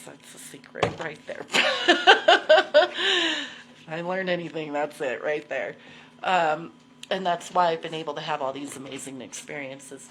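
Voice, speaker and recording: A woman's voice: low-pitched, fairly bright tone, slight background noise, low-quality recording. A woman in her forties talking casually to livestream viewers, candid and slangy, swearing freely and repeating herself for emphasis. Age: 40s. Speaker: host of a live video show.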